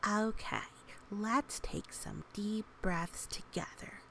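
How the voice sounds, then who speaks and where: A calm, kindly friend from a children's storybook, voiced by a woman reader. The delivery is soft, slow and encouraging, with some creak and faint noise behind it. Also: medium pitch, poor audio. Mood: calm, soothing.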